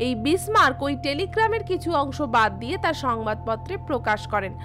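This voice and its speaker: A woman teacher with a distinctly high voice and a West Bengal Bengali accent. She explains material in a scripted, student-directed way, addressing listeners directly. Music plays clearly behind her. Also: very animated, a little fast. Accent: West Bengal Indian Bengali. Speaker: teacher, presenter of an educational channel. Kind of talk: scripted exam lesson and channel promotion, addressed to students.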